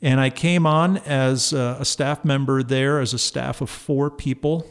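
A man in his fifties from northern New Jersey, a pastor hosting his church's podcast, talking in a relaxed way with many ums and uhs. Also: medium pitch, unhurried, clean tone. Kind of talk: casual church podcast monologue.

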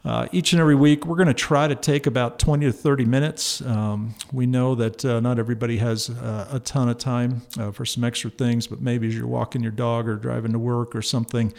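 A man in his fifties, a church pastor, talks through his podcast at an easy pace. His voice is gravelly and sits at an ordinary pitch, and he fills his pauses with ums and uhs. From northern New Jersey. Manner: relaxed, many ums and uhs, soft laughs. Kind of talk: casual church podcast monologue.

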